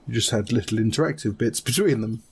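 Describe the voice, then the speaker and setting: A man's voice: fairly low pitch, fast talker, darkish tone, husky. A man, talking casually as a panelist on a podcast. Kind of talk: casual panel chat about films.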